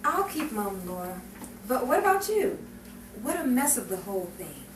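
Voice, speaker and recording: In an echoey, noisy recording, a woman acts out a loyal, worried friend from a 1950s crime drama. Her voice is high, with animated swings in pitch. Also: normal speed. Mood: worried, confiding. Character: loyal, worried female confidante of a crime heroine. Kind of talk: 1950s crime melodrama acting. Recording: echoey room, distant mic, clear noise.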